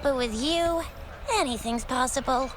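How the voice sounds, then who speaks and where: A woman's voice: sits high, measured pace, over music. A woman playing a chatty, curious young companion in a video game. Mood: musing, friendly.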